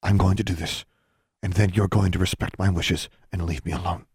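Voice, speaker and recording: A man voicing a stern, guarded woman in an audiobook, speaking in a hard, hushed, curt and commanding way. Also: low-pitched, fairly even intonation, fairly quick, breathy edge, no room sound, clean studio sound. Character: stern, guarded adult elder sister, a woman doctor.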